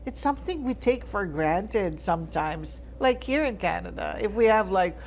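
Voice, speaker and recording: A woman's voice: medium pitch, expressive, moderate pace, vocal fry, slight background noise. A woman from the Philippines hosting an interview show, chatting casually and reacting often with "wow.". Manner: warm reactions, frequent wows. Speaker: show host.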